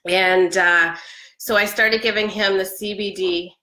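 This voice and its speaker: A woman's voice: mid-range voice, fairly even intonation, a little slow, bright, forceful tone. A woman in her forties with a head cold, chatting casually on a live stream from her living room, upbeat with plenty of ums. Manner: chatty, upbeat, many ums, laughs often. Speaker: product seller. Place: living room with a Christmas tree. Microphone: webcam at close range. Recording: echoey room.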